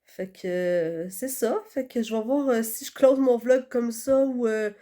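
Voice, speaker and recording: A woman's voice: a little high, a little slow, warm tone. A young woman with a Quebec French accent, chatting casually to her phone camera while stuffed up from a cold, with lots of fillers. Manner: chatty, rambling, many fillers. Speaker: vlogger.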